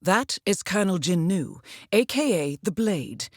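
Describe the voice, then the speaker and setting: An actress playing a composed, coolly professional intelligence handler who guides an assassin in a video game, measured and dryly wry. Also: female voice, fairly low pitch, expressive, a little mellow, no room sound, studio quality. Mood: serious, briefing. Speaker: mission handler. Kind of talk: scripted video game mission briefing.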